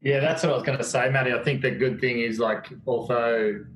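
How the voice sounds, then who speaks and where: A man's voice: medium pitch, fairly even intonation, brisk, fairly bright tone. A man chatting casually as a participant in an online group call. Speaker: program participant. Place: live online video call. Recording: faintly noisy video call with clicks.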